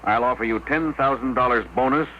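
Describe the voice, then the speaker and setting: An actor in a 1950s radio drama playing a brisk, decisive man in command of a rocket project. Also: medium pitch, natural voice. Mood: persuasive. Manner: decisive, authoritative. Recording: noisy, dull old radio broadcast.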